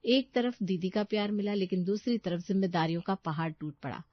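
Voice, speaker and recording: A woman's voice: medium pitch, brisk, no room sound, highs cut off. A woman reading a scripted moral story as its narrator.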